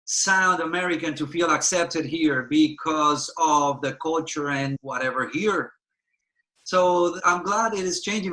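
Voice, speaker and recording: Bright-voiced Puerto Rican man, passionate. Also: on the high side, low-quality recording. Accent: Puerto Rican Spanish. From Puerto Rico. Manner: passionate, repeats phrases for emphasis. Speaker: guest actor. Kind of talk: earnest interview conversation.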